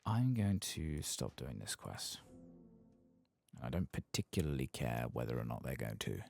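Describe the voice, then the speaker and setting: Guy doing quiet asmr game commentary, super low gravelly breathy voice, drifts into whispers, unhurried. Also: big pitch swings, soft background music. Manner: low-key, murmuring to himself while playing. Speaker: streamer playing a video game.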